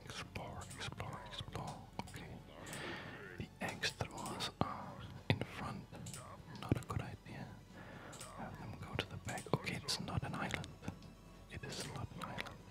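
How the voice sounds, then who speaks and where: A person whispering ASMR gameplay commentary, hesitantly thinking aloud as the host of a gaming video. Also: a little slow.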